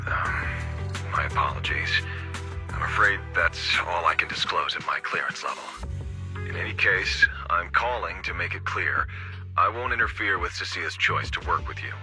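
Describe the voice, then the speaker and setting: A man voicing a formal, dry male public security officer in an English game dub, speaking in a measured, politely pointed way. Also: sits low, close to an everyday voice.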